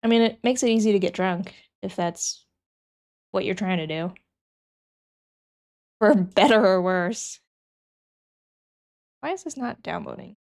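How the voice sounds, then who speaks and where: A woman's voice: mid-range voice, measured pace, long pauses, a little mellow. A young woman streamer who is sick and sniffling, chatting casually with her chat and thinking aloud as she works.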